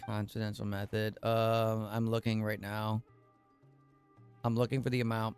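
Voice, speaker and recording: A man's voice: lowish voice, monotone, normal speed, darkish tone, clean tone, soft background music. A man livestreaming a game, chatting casually with viewers in a rambling, playful way with plenty of swearing. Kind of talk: casual live commentary with chat.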